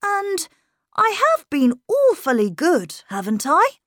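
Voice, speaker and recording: A woman's voice: sits high, huge pitch swings, takes it slowly, a bit bright, dry recording, studio quality, voice pushed up, full character voice. A woman voicing a polite, charming young boy who knows he has the upper hand, in an audiobook reading. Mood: charming, coaxing. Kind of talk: audiobook character voice.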